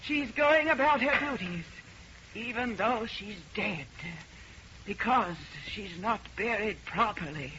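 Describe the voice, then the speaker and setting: A woman's voice: mid-range voice, lively intonation, pushed and bright. An actress playing a haunted, grieving mother, melodramatic and insistent, in an old radio horror play. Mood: eerie, insistent. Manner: melodramatic, intense, deliberate. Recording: noisy, dull old radio recording.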